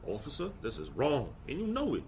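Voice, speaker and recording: An actor playing a proud army sergeant in an audio drama, courteous yet firm and defiant. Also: male voice, mid-range voice, wildly swooping pitch, brisk, darkish tone, slight background noise. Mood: protesting.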